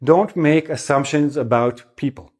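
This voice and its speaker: A middle-aged man teaching English, relaxed and encouraging, giving tips through examples and stories from his own life. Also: fairly high pitch, measured pace. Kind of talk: spoken English lesson with tips.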